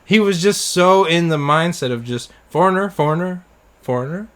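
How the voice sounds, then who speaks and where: A man's voice: fairly high pitch, animated, normal speed, a bit bright. Casual male podcast co-host telling stories. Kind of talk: casual chat, anecdotes.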